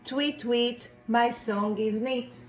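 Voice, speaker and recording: A woman's voice: fairly high pitch, steady tone, slow pace, faint music behind, voice pushed up. A woman voicing a cheerful little male songbird in a children's storybook, chanting in a rhythmic sing-song. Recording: echoey room with faint background noise.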